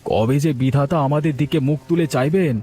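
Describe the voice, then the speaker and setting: A man voicing a doubtful, grumbling village fisherman with a plaintive manner in an animated story. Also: on the high side, brisk, close to an everyday voice. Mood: doubtful, wistful.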